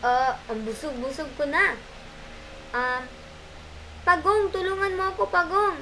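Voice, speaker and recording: A woman's voice: very high-pitched, steady tone, unhurried, bright, forceful tone, slight background noise, pitched above the performer's own voice, heavily acted, rough recording. A woman voicing a greedy, mean monkey in an amateur puppet show, blustering and threatening, whining when in trouble. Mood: whiny, pleading.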